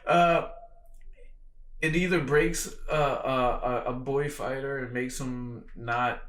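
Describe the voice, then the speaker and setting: An American man hosting a casual interview, talking in a halting way with frequent pauses. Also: mid-range voice, steady tone, fairly bright tone. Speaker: podcast host, boxing coach. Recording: echoey room.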